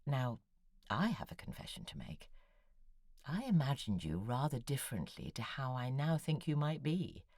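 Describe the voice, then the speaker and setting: An actress playing Monica, a forty-six-year-old novelist who talks in a wry, confiding way and sometimes sinks to a near whisper. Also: female voice, a bass voice, very animated, normal speed, a little mellow, no echo, studio quality, exaggerated voice. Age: 40s. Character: Monica, a 46-year-old woman novelist, thrice married, wry and self-doubting. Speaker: writer. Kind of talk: audio drama acting, epistolary.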